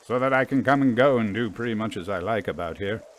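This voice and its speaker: Man voicing a breezy, theatrical young gentleman, some noise. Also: on the low side, quick pace, natural voice. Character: breezy young gentleman angler, mock-grandiose and grumbling.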